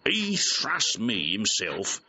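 An aggrieved clown of a servant in classical audio comedy. His voice is piercingly bright and leaps wildly up and down as he rambles theatrically. The restored old recording is a little dull. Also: on the low side, huge pitch swings, slow pace, husky, full character voice. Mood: aggrieved, confiding. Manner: rambling, theatrical, conversational soliloquy with mimicked voices. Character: comic male clownish servant scolding his dog, aggrieved, earthy.

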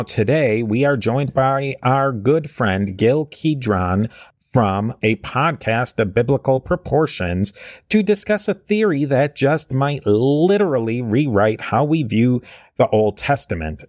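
A man's voice: medium pitch, darkish tone, no echo, clean studio sound. A man hosting a history podcast, reading thanks to supporters and then chatting earnestly with a guest. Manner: earnest, with fillers and restarts. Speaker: podcast host. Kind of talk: casual podcast conversation.

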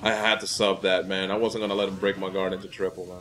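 A man's voice: sits low, flat delivery, fast talker, fairly bright tone. A young man from the Dominican Republic giving casual, profane commentary while playing a fighting game, ranting and easily irritated. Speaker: gameplay commentator.

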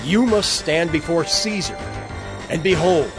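An actor playing an impassioned, steadfast apostle and prisoner in a dramatized audio Bible, earnest and declamatory. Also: male voice, fairly high pitch, unhurried, gravelly. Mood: rousing encouragement. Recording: voice over prominent music score.

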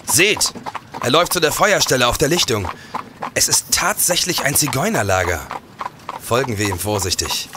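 An actor playing a watchful, cautious gentleman policeman in a period audio drama. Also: medium pitch, animated, normal speed, noisy background, lightly acted. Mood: hushed excitement.

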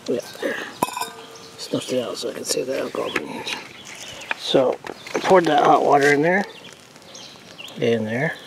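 A man's voice: medium pitch, measured pace, gravelly, long pauses, background noise. A man talking casually to himself while cooking a meal on a camp stove outdoors, unsure and easygoing. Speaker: outdoor vlogger. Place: outdoor campsite by a lake.

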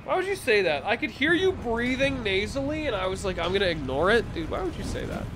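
Young male streamer, stuffy nasal voice, high and animated, loud game sound under him. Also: fairly quick, background noise. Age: young adult. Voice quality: nasal, congested. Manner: rambling, swings from mumbling to loud outbursts. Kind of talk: live gameplay commentary. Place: small home room.

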